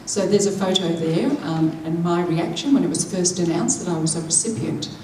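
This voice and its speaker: A woman, interpreting a deaf speaker's keynote into spoken English in the first person, with a measured manner that draws in the audience. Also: fairly low pitch, steady tone, dark tone, slight background noise. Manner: measured, engaging the audience with questions. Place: large conference hall. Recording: echoey hall, voice picked up from a distance.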